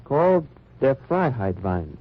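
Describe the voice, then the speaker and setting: An actor playing a dry, wry undercover agent who narrates in first person in a 1940s radio drama. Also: male voice, medium pitch, very animated, a little slow. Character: hard-bitten American undercover agent narrating. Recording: lo-fi old radio transcription.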